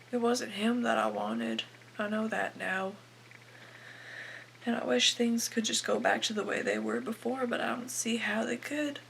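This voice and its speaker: Teenage girl acting an anguished, creaky young farm wife. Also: medium pitch, a little hiss or hum. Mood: guilty, subdued. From Canada. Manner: confessional, anguished, swinging from hushed to bursting. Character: desperate, bitter, self-loathing young farm wife confessing to her husband. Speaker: aspiring actress performing a film monologue. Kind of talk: dramatic monologue acting.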